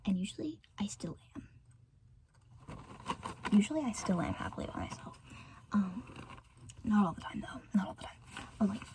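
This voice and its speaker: A woman's voice: medium pitch, expressive, normal speed, pauses often. Whispering American woman, rambling ASMR. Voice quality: soft unvoiced whisper. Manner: meandering, filler-heavy, giggly chatter. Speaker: ASMR creator.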